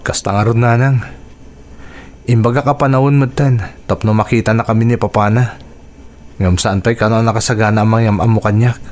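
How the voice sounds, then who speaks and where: A man's voice: lowish voice, steady tone, fairly quick, a little mellow, lightly acted. A man voicing a humble young husband who works as a tricycle driver, talking in a plain, easygoing way in a radio drama.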